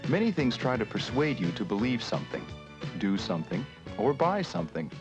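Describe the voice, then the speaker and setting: A man narrating an educational film in a measured, instructional manner, with questions put to the viewer. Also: medium pitch, very animated. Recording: muffled 1970s educational film soundtrack with music.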